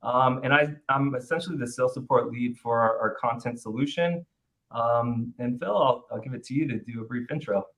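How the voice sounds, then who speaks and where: A man hosts a webinar over a video call with a medium-pitched voice. He sounds upbeat and chatty, and keeps a friendly, rambling flow. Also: a little fast, fairly bright tone, low-quality recording. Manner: upbeat, chatty, many fillers and restarts. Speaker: webinar host. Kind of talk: webinar presentation.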